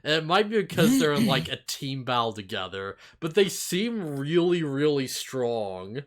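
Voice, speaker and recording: A man's voice: mid-range voice, big pitch swings, normal speed. A man co-hosting a podcast in casual chat, rambling and slangy, with a giggly manner. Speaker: podcast co-host. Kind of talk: casual chat, animated series discussion.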